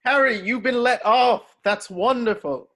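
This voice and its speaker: An actor playing a kindly wizard father, warm but hesitant and awkward, in a table read. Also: very high voice, measured pace, heavily acted. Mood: delighted. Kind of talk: amateur online table read of a screenplay.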